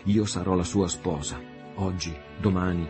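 Un uomo che interpreta una giovane nobildonna appassionata. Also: male voice, low-pitched, steady tone, moderate pace, over music, lightly acted. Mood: impassioned. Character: passionate young noblewoman, lovestruck and fierce.

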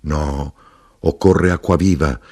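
A man voicing a huge, generous, gently magnanimous man in a storybook, speaking courteously in declarations. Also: a bass voice, steady tone, takes it slowly, slightly rough, full character voice. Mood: measured.

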